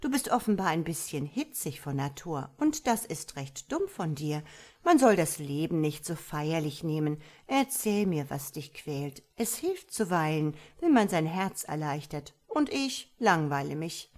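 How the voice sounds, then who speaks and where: A woman's voice: sits low, very animated, unhurried, darkish tone, heavily acted. A woman voicing a cheerful, chatty starling in a storybook reading, breezy and a little lecturing. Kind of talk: storybook character voice.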